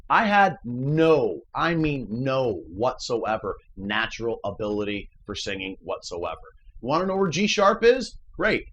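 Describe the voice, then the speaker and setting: Animated baritone man, earnest and emphatic. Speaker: musician and channel host. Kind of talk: casual motivational chat.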